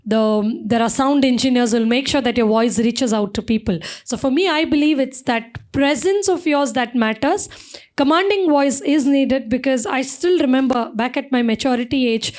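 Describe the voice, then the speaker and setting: A woman, an event emcee on a panel, speaking emphatically in a low, strong voice with many fillers. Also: darkish tone. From India. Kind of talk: panel discussion answer.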